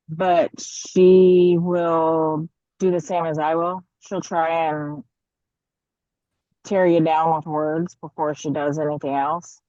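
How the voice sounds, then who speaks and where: A woman from the United States giving casual interview answers as a guest author, halting as she thinks aloud. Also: lowish voice, warm tone, no room sound, muffled recording.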